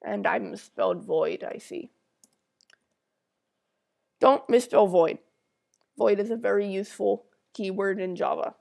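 A woman's voice: mid-range voice, gravelly, a little mellow. A woman with a stuffy nose talking through a coding screencast, reading out code as she types it and thinking aloud. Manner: talks slowly while typing code, thinking aloud. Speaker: tutorial maker.

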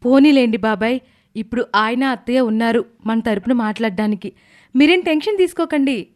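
A woman playing a gentle, respectful young daughter-in-law in a family story, polite and caring. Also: a little high, fairly quick, close to an everyday voice. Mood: polite, calm. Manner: polite, soft-hearted.

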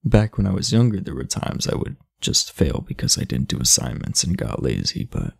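A man playing an aloof, irritable, sleepy teenage delinquent in an ASMR roleplay, blunt, sardonic and guarded, with a low, gravelly voice. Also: wildly swooping pitch, normal speed, no room sound, studio quality, heavily acted. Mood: guarded, candid. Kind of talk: ASMR roleplay, speaking to the listener.

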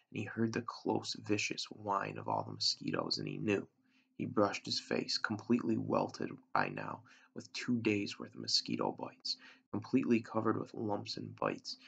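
A man in his thirties with a gravelly, husky voice reads a story aloud, recorded on a webcam in a room at home. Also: lowish voice, fairly bright tone. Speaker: teacher reading aloud. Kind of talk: storybook read-aloud narration. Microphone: computer webcam at arm's length. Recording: dull lo-fi webcam sound.

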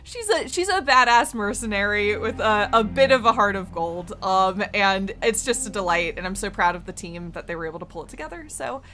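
A woman's voice: lively intonation, a little fast, few pauses, bright, forceful tone. A young woman streaming a game, chatting casually, laughing often and trailing off into restarts. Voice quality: low gravelly voice. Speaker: streamer and voice actor. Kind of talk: casual chat while gaming.

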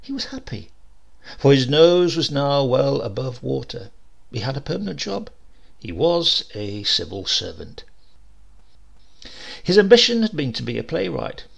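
A man's voice: mid-range voice, sing-song, unhurried, gravelly, pauses often. A man reading a comic short story aloud as narrator, in a dry, wry storytelling manner. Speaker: audiobook reader.

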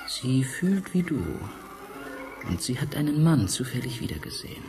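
An actor playing a mysterious, intimate man coaxing a goddess in a science fiction radio play, with slow incantatory phrasing. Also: a little high, big pitch swings, background noise. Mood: solemn, hypnotic. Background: music.

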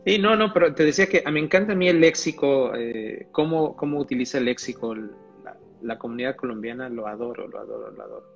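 A man's voice: medium pitch, big pitch swings, quick pace, vocal fry, hardly any pauses, dull audio, faint music behind. A man as guest in an online conversation, talking enthusiastically and repeating his phrases. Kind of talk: casual live-stream conversation.

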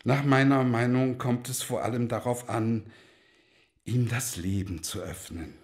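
A worldly godfather holds forth in a radio play. He speaks slowly and floridly, with sweeping, animated pitch, like an avuncular man grandly giving advice. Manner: theatrical, florid, deliberate speech. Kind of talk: German radio play acting.

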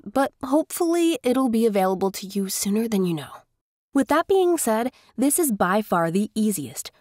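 A woman's voice: mid-range voice, a little mellow, no echo, studio quality. A woman narrating a scripted tutorial in a conversational, enthusiastic way as its host. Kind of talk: scripted tutorial narration over screen recording.

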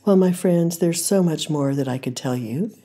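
An older woman hosting a devotional podcast, speaking in a hoarse voice, gentle and earnest, with frequent pauses as she teaches and prays. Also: sits low. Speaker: podcast host. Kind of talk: devotional talk and prayer.

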